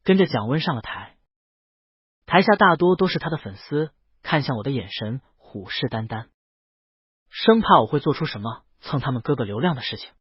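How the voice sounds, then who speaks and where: Husky, animated male story narrator. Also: mid-range voice, normal speed, no echo, lo-fi sound. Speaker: audiobook narrator. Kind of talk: scripted first-person story narration.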